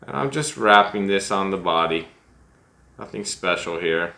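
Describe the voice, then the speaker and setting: A middle-aged man with a very low voice that still has a bright, forward edge. He walks through a fly-tying demonstration in a small room, chatting easily, thinking aloud about each choice and poking fun at himself. The sound comes from a camera at desk distance. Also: animated, unhurried, gravelly. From United States. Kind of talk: relaxed step-by-step tutorial.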